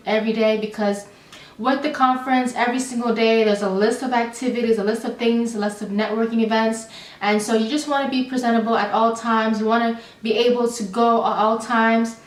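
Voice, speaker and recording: Young woman, chatty vlog storyteller, rambling with fillers, fairly high voice, echoey room. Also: steady tone, a little fast. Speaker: vlogger. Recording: roomy, slightly distant mic.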